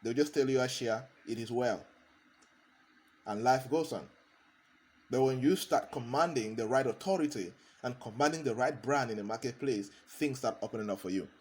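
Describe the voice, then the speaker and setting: A man's voice: medium pitch, moderate pace, darkish tone. A man, a consultant giving a motivational talk, hammering points home by repeating key phrases. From Cameroon.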